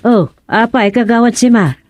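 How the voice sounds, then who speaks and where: A woman's voice: fairly high pitch, lively intonation, normal speed, darkish tone, no room sound, close to an everyday voice. A woman with an Ilocano accent playing a homely, easily delighted village gardener in a radio comedy-drama, chatty and given to exclaiming.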